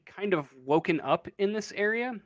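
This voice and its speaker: A woman game master with a mid-pitched voice, recorded dry. She improvises the story informally, feeling her way through it in halting phrases. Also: animated, no echo. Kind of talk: improvised tabletop game narration.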